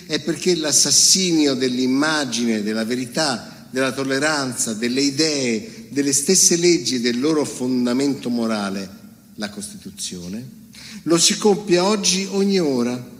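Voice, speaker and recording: A man's voice: medium pitch, expressive, a little slow, big-room sound. A man reading out a political speech in a solemn, indignant, declamatory way. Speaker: reader.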